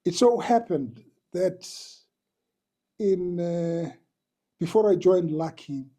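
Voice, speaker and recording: An older man from Tanzania, a musician being interviewed, telling his stories slowly with many pauses and repeated words. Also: high voice, animated, warm tone. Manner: slow, hesitant, with many uhs and repeats. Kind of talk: relaxed storytelling.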